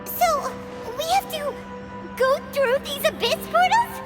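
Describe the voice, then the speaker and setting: Tiny excitable fairy sidekick, very squeaky, talks about herself in third person; reverberant mix over game score. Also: female voice, measured pace, loud music behind the voice. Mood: worried. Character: tiny floating female fairy companion, chirpy and excitable. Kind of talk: video game cutscene voice acting.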